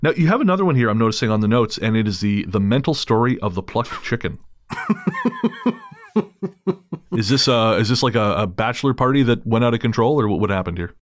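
A male podcast host with a lower voice, trading stories and crude jokes in a relaxed chat. His sound is close and dry, with no room around it. He laughs easily at his own lines. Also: brisk, no echo. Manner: joking, crude humor with easy laughter. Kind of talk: casual podcast conversation.

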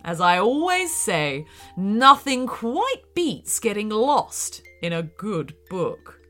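A woman plays a gently pleased storybook librarian. The delivery is unhurried and encouraging, with a clear bright tone. Music runs underneath. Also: mid-range voice, sing-song, full character voice. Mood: pleased, gentle. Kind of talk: children's storybook character voice.

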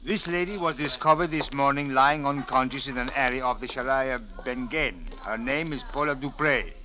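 An actor playing a patient, formal Cairo police captain in a 1940s radio drama, with precise, official delivery. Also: male voice, medium pitch, normal speed, pushed and bright, lightly acted. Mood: questioning. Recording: old radio drama recording.

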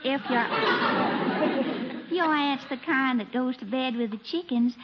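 An actress playing a flirtatious Southern belle with a honeyed, drawling, coquettish Southern US delivery in 1940s radio comedy style. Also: female voice, high voice, measured pace, a loud crowd. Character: coy, flirtatious Southern belle lady friend. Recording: muffled, noisy 1940s radio recording.

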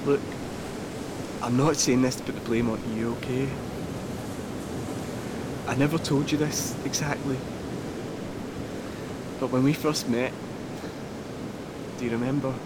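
A male actor plays an earnest man pleading with an estranged partner. He speaks at a middle pitch in halting, repetitive, confessional phrases peppered with swearing. A very loud wash of surf-like rumble runs behind this audio drama. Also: steady tone, close to an everyday voice. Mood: earnest, reflective. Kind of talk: naturalistic audio drama acting.

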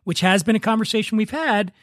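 A man's voice: high-pitched, fast, a bit bright, dry recording, studio quality. A man hosting a casual comedy podcast, chatting with a joking, teasing manner. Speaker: podcast host.

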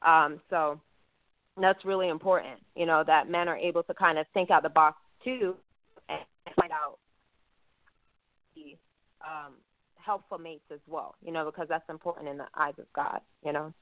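A woman's voice: deep voice, fairly even intonation, normal speed, long pauses, dry recording. A woman hosting a faith-based radio interview, chatty and affirming, peppering her talk with "you know.". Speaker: talk show host. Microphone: phone. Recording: tinny phone line, dry.

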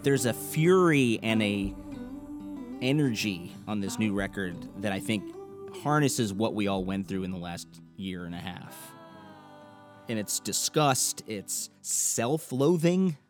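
A man's voice: lowish voice, lively intonation, a little slow, music in the background. A man hosting a podcast interview, talking loosely and thoughtfully with lots of "you know"s. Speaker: podcast host. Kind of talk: casual conversational interview.

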